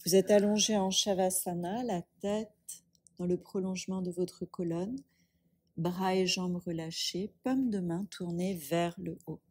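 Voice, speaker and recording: A woman leads a guided meditation at a very slow, unhurried pace. Her voice is soft and breathy, with calm instructions given in short phrases and long pauses between them. The sound is dry, with very little room around it. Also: fairly low pitch, no room sound. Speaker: yoga nidra teacher.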